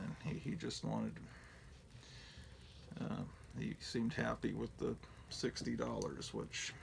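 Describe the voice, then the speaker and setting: Gravelly middle-aged man, rambling and profane. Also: medium pitch, fairly bright tone, a little hiss or hum. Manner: profane, digressive, self-mocking. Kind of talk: casual rambling show-and-tell.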